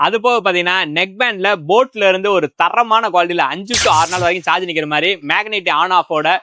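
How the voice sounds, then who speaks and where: A man gives an energetic promotional product pitch as a shop presenter. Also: fairly quick, a little hiss or hum.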